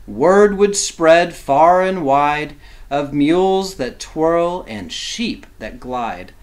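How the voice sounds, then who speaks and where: A man reading a children's storybook aloud as a teacher, expressive, with simple explanatory asides for young listeners. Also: on the high side.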